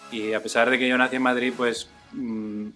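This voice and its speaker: A man in his forties, from Spain, talking about his painting in a relaxed, thoughtful way with many fillers. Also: mid-range voice, normal speed, soft background music. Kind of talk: casual documentary interview.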